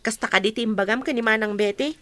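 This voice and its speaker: A woman's voice: a little high, fast, a bit bright, no room sound, natural voice. A woman playing a hard-hearted, bitter wife in a radio drama, scornful and complaining.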